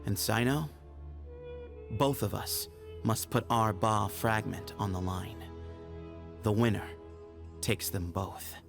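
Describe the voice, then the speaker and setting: Firm young temple leader. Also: male voice, lowish voice, steady tone, takes it slowly, creaky, long pauses, music in the background. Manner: firm and formal. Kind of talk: game dialogue voice acting.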